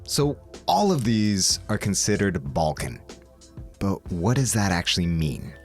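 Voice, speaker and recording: A man's voice: low voice, sing-song, a little slow, soft background music. A man hosting a podcast, asking curious questions in casual chat. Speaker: podcast host.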